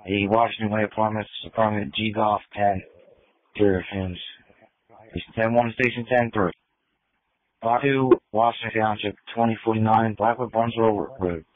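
A man working as an emergency dispatcher, reading fire and EMS calls over a two-way radio in clipped, formal phrasing. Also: lowish voice. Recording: phone-like fire radio transmission.

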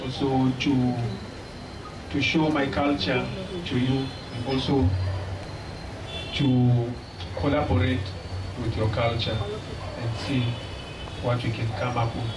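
A young man with a Zimbabwean accent, teaching an audience about drumming in a live lecture, talking plainly with many pauses. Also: mid-range voice, level tone. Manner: unhurried, pausing often, friendly and plain. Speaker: drummer giving a lecture-demonstration. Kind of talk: halting live teaching talk. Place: small indoor stage hall. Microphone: stand mic, recorded from a far camera. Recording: echoey hall, voice from far off, audible noise.

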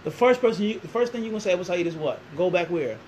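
A man's voice: high-pitched, lively intonation, racing, darkish tone, slight background noise, poor audio. A man in his thirties answering an interview in a small classroom, emphatic and full of rhetorical questions. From Philadelphia. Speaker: interviewee, Islamic teacher.

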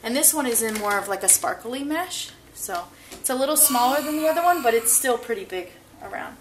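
A woman's voice: fairly high pitch, lively intonation, fairly quick, background noise. Chatty woman vlogging at home. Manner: chatty, upbeat, talks straight to viewers. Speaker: family vlogger. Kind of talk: casual vlog chat to camera. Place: car, then a home kitchen and living room. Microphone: handheld camera at arm's length.

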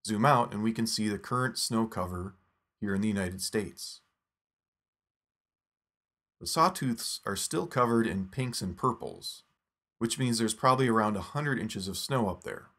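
A man narrating a scripted phone app tutorial in a steady, instructive step-by-step voice. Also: on the low side, moderate pace, long pauses.

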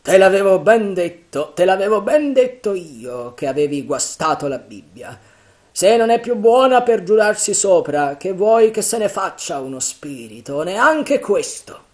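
A man voicing a bold, cunning male pirate leader, booming and raised high in an audiobook reading. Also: big pitch swings, unhurried. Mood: scornful.

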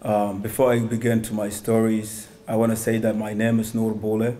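Soft-spoken, dark-toned young man. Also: on the low side, monotone, normal speed. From Somalia. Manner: hesitant, many ums and restarts. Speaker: guest speaker. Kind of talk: personal testimony talk. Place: synagogue hall, indoors. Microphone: stand mic.

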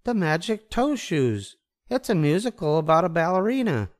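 A man voicing a gentle, motherly mama bear, patient but firm, in a storybook reading. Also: high voice, sing-song, moderate pace, pitched above the performer's own voice. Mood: agreeable.

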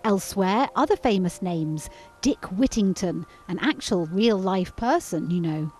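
Female reporter, scripted feature narration, lively intonation. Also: mid-range voice, a little slow, a little hiss or hum. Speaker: TV news reporter.

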